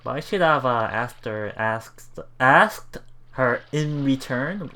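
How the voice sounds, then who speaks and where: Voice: medium pitch, animated, slow, sharp tone. A let's play host reading game text aloud with casual commentary, often stumbling and repeating words.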